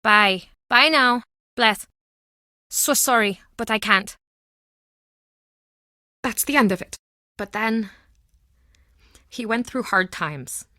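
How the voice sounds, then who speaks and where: A woman's voice: a little high, slow pace, pauses often, bright, forceful tone, dry recording, studio quality. An actress playing a guarded, heartbroken townswoman with an earnest, emotional delivery in a mystery video game. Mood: guarded, firm. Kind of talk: dramatic video game voice acting.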